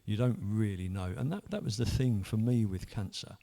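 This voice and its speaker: A man's voice: lowish voice, moderate pace, gravelly, warm tone. A man, earnestly telling his own story in a rambling way, full of restarts. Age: 50s. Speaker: church member sharing testimony. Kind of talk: personal testimony.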